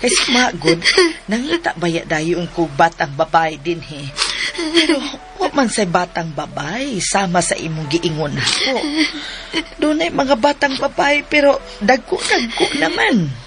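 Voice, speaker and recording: A woman's voice: medium pitch, sing-song, unhurried, fairly bright tone, slight background noise. An actress voicing an older mother longing for a grandchild, soothing and evasive in a radio drama.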